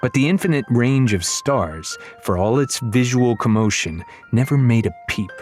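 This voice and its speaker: A man's voice: medium pitch, moderate pace. Man narrating fantasy audiobook over music. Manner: expressive storytelling. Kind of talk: scripted dramatic narration of a children's fantasy novel.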